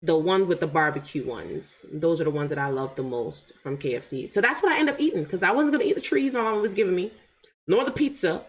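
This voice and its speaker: A woman's voice: on the low side, animated, quick pace. Chatty woman with a light Jamaican accent. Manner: chatty, digressive, keeps asking "right?". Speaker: channel host. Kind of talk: personal storytelling to viewers.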